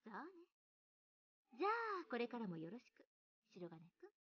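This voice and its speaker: Bossy visual novel scientist lady, talks super fast, blunt and sure of herself, bit muffled game audio. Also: a little high, wildly swooping pitch. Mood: businesslike. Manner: brusque, commanding, self-assured. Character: blunt, demanding adult woman researcher, cool and bossy. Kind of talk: Japanese visual novel voice acting.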